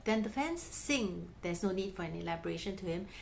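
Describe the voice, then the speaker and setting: A woman's voice: mid-range voice, expressive, brisk, gravelly, slight background noise. A woman narrating her own vlog in a chatty, gushing fan manner. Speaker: vlogger. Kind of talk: casual narration.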